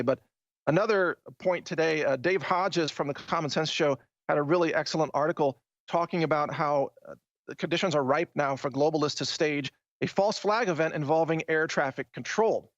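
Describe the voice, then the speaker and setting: Talk show host: American male, slightly high register, emphatic and opinionated, conversational delivery, dull lo-fi sound. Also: no echo. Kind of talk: political talk show monologue with product plugs. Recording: dull, band-limited dry audio.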